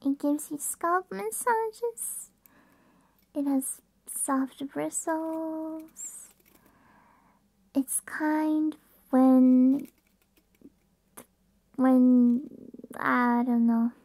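Woman acting a high-pitched playful puppy girl. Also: extremely slow, long pauses, darkish tone, no room sound, full character voice. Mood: playful, dreamy. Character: playful, affectionate, bratty puppy girl pet. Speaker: ASMR roleplay performer. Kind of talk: ASMR roleplay, playful and intimate.

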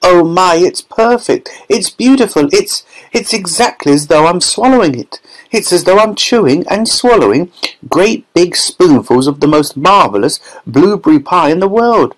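A spoiled, boastful young girl who gushes about everything , as a man reading a storybook puts her on. He pitches the voice well above his own and keeps it lively and bouncing. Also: normal speed, low-quality recording. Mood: gushing. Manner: gushing, excited chatter.

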